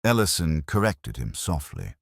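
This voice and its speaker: Very deep-voiced man narrating a detective story. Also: very animated, normal speed, gravelly, slightly rough, no echo, clean studio sound. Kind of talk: scripted first-person detective story narration.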